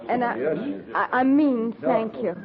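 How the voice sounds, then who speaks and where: An actress playing a bitter, wounded frontier widow in a 1950s radio drama, halting and emotional. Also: female voice, a little high, animated, creaky, a loud crowd, exaggerated voice. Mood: subdued, wistful. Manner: halting, emotional, plain-spoken. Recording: thin, phone-like 1950s radio recording.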